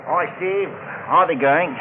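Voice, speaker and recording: An actor playing an easygoing chief engineer on a freighter in a 1940s radio thriller. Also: male voice, a little high, measured pace, natural voice. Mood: friendly, matter-of-fact. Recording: tinny, hissy old radio broadcast.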